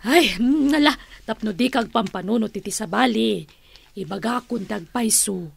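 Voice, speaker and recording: An actress playing an exasperated comic auntie in an Ilocano radio drama, hesitant and full of exclamations. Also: female voice, fairly high pitch, normal speed, creaky, slightly rough, exaggerated voice. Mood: flustered.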